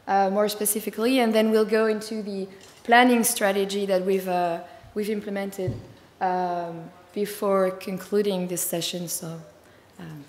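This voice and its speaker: A woman with a slightly hoarse, tiring voice giving a conference talk, fluent but with many fillers. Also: medium pitch, steady tone, measured pace, darkish tone. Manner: fluent, filler-heavy explaining. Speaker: conference speaker. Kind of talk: conference presentation. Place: large conference hall. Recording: echoey hall with long reverb.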